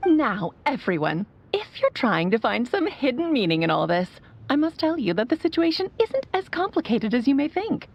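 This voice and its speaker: Playful, animated sorceress mother. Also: high voice, moderate pace, muffled recording, exaggerated voice. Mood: warm, sincere. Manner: theatrical, teasing. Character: playful, theatrical, doting sorceress mother speaking through a phonograph. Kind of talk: animated video game dialogue. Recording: music behind the voice.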